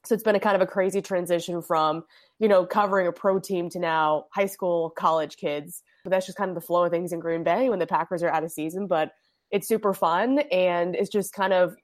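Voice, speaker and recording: A young woman sports reporter with a husky voice from a cold, chatting casually as a podcast guest, laughing often and restarting her sentences. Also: lowish voice, no room sound, studio quality. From Indiana.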